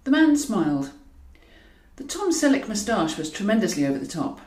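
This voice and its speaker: A woman's voice: medium pitch, very animated, moderate pace, darkish tone. Woman narrating a novel in a roomy echo. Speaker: author reading own novel. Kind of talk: scripted audiobook narration of comic fiction. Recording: roomy echo, distant from mic.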